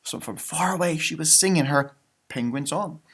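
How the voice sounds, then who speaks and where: A man reading a children's picture book aloud in an expressive, teacherly way, with casual chatty asides. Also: a little high, normal speed, breathy edge. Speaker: storybook reader, elementary school teacher.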